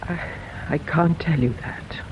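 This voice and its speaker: An older actress playing a mother who haltingly guards an old family secret in a radio drama. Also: deep voice, a little hiss or hum. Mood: strained. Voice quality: hesitant, faltering. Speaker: witness. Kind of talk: 1970s-style radio drama acting. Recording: dull lo-fi radio recording.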